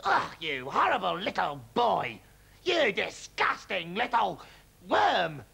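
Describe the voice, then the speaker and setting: A man's voice: sits high, wildly swooping pitch, slow pace, gravelly, husky, pitched above the performer's own voice, full character voice. A man voicing a bossy, bad-tempered ancient grandmother who shrieks her orders. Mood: shrill bossy. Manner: screeching, shouted insults.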